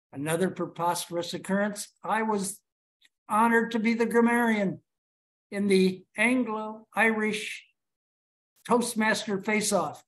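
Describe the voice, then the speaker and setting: This is a man, speaking slowly and deliberately as he tells his story. He addresses his club over a video call. He builds each point with rhetorical questions. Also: high voice, a bit bright. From Chicago, USA. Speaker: club officer giving a speech. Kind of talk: prepared speech to a club.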